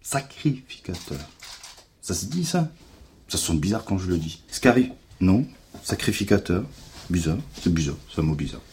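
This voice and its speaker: A reluctant investigator with a low voice and a mocking tongue. He grumbles and talks on with dry sarcasm in a naturalistic audio drama. There is some noise behind him. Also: animated, moderate pace, a little mellow. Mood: dismissive, wry. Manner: wry, mocking, talkative. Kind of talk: naturalistic French audio fiction acting.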